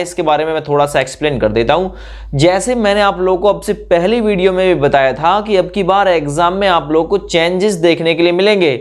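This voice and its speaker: High-voiced man explaining updates. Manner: explains in asides, reads out notices, frequent check-in tags. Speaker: exam news presenter. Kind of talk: explanatory update for exam candidates.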